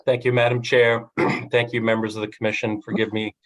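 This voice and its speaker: Low, flat-voiced man with a cold. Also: fairly quick, low-quality recording. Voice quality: nasal. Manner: flat delivery with frequent ums and uhs. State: has a cold. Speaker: agency director presenting the agenda. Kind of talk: formal meeting briefing.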